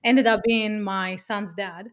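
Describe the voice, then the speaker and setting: A woman with an Israeli accent, a podcast guest talking business in a lively, emphatic way, often tagging lines with "right?". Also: medium pitch, slow pace. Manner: energetic, emphatic, keeps asking "right?", swears casually. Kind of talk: casual interview chat.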